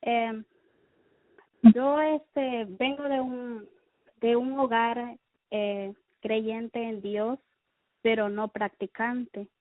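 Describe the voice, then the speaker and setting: A woman's voice: fairly high pitch, no room sound. A woman from El Salvador, telling her faith testimony over the phone, hesitant with many fillers and restarts. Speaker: caller giving a testimony. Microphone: telephone. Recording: tinny phone line.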